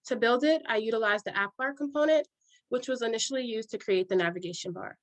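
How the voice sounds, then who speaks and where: Young new york woman reading her demo part, steady, dry close sound. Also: fairly high pitch, fast talker, no room sound. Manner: steady, reads from a prepared script. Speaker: student presenter, scrum master. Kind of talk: scripted project presentation.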